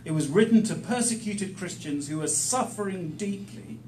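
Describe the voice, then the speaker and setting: Higher-voiced male preacher in an echoey room. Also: lively intonation, measured pace, dark tone. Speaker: guest preacher teaching the Bible. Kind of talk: preaching in short phrases for an interpreter. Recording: echoey room, distant mic, faint noise.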